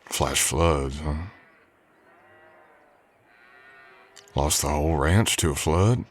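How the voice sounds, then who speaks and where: A man acting an Old West drifter cowboy with an extremely deep voice. He works as a ranch hand and speaks in an unhurried, folksy drawl. His manner is modest and courteous, in the intimate style of an ASMR roleplay addressed to the listener. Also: breathy edge, full character voice. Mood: wistful, reflective.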